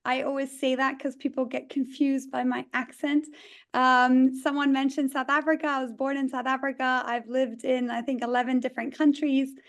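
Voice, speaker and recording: High-voiced Dutch-born woman consultant. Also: little pitch movement, moderate pace. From Netherlands. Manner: friendly, chatty, with fillers. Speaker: leadership consultant. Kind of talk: self-introduction on a webinar. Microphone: video call.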